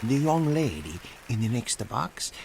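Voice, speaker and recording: An actor playing a deferential theater attendant in a radio drama, hushed and formal. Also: male voice, medium pitch, big pitch swings, measured pace, vocal fry, a crowd in the background, background noise. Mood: discreet.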